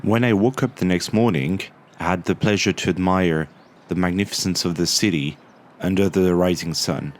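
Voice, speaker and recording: A young man telling about his travels in a relaxed, chatty way, with lots of small fillers. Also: low voice, normal speed. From Morocco. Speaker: travel vlogger. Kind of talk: casual travel vlog narration.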